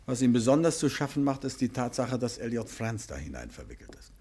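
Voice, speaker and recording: An actor playing a composed, courteous gentleman detective in a 1950s German radio play, speaking thoughtfully with a husky voice. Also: mid-range voice, big pitch swings, fairly quick. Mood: serious, probing. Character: composed, probing gentleman detective, husband of his companion.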